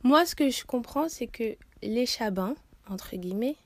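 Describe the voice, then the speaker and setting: A woman's voice: a little high, expressive, unhurried, no room sound. A young woman hosting a podcast interview, asking long, earnest questions with frequent fillers. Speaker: podcast host.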